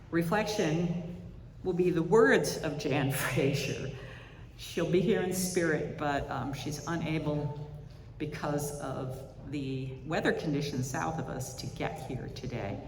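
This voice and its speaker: A service leader speaks slowly and deliberately in a big echoing hall. The voice sits at a distance from the microphone, with the measured phrasing of church announcements and readings. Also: medium pitch, darkish tone. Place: church sanctuary during a service. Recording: echoey hall, distant mic, faint noise.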